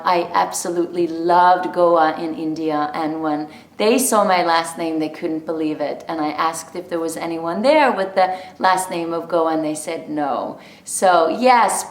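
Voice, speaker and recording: Unhurried Norwegian-raised woman vlogging. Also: lowish voice, echoey room. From Norway. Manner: chatty, animated storytelling with laughs. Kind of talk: casual talk to camera.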